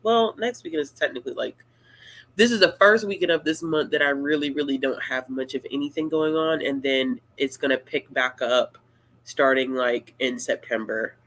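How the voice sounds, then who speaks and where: A woman hosting a casual live-stream Q&A, talking in a relaxed, rambling and playful way. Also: rumbling low, normal speed, poor audio. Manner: laid-back, rambling, playful. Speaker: booktuber host of a live Q&A.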